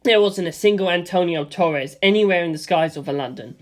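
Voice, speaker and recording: Narrator, first-person audiobook, casual, lively, mid-pitched. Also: fairly quick. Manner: casual, lively storytelling with asides.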